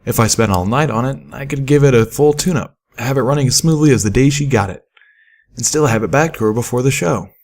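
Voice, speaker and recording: A man's voice: medium pitch, a little fast, darkish tone. A man reading a first-person story aloud as a scripted audiobook narration. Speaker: fan fiction audiobook narrator.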